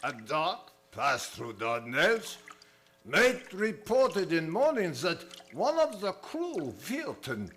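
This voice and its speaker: A man's voice: sits high, wildly swooping pitch, takes it slowly, a bit bright, faint music behind, heavily acted. An actor playing a grim, increasingly fearful ship's captain, reading his log in clipped, solemn phrases in old-time radio style. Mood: solemn, uneasy. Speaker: stage and radio theater actor.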